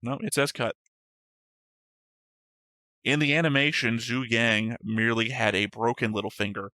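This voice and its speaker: A man with a bright, forward voice chats casually while reading through notes, stopping often to correct himself and swearing now and then. He is recorded dry and close on the mic, with no room sound. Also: mid-range voice, fairly even intonation, normal speed, no room sound. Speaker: reaction show host reading notes.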